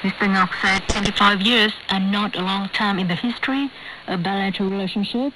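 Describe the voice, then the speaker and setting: Woman newsreader on noisy shortwave radio. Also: mid-range voice. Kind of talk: scripted news reading.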